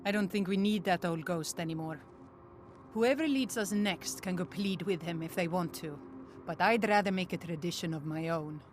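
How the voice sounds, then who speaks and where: A woman's voice: lowish voice, normal speed, natural voice. A woman playing a tough, self-assured clan leader in a video game, blunt and confident. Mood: confident, defiant. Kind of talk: video game character dialogue. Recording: faint music behind the voice.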